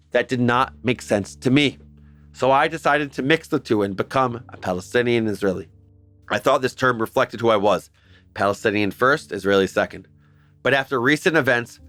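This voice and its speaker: A man's voice: mid-range voice, fairly bright tone. A man hosting a scripted history explainer, talking earnestly with the odd wry aside. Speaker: host.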